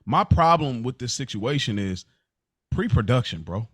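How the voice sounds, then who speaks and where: A man's voice: mid-range voice, very animated, moderate pace, a bit bright, slightly rough, no room sound, studio quality. A man in casual, opinionated podcast discussion, talking slangily and repeating phrases for emphasis. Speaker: podcast co-host.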